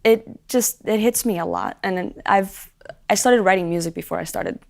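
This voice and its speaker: A woman's voice: medium pitch, moderate pace, vocal fry. A young woman with an American accent, raised in Sweden, chatting as a guest musician in an interview, talking animatedly with many fillers and restarts. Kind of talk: casual interview chat.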